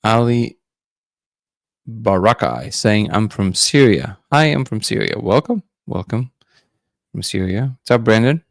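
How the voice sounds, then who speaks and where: A man's voice: lowish voice, lively intonation, a little slow, creaky, no echo. A man hosting a casual livestream, musing loosely and greeting viewers by name. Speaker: livestream host.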